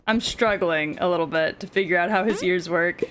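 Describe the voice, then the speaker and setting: A young woman with a deep, smoky voice, chatting and cursing casually while streaming a game. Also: moderate pace, noisy background. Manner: crude, swears, laughs, sings along. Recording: faint music behind.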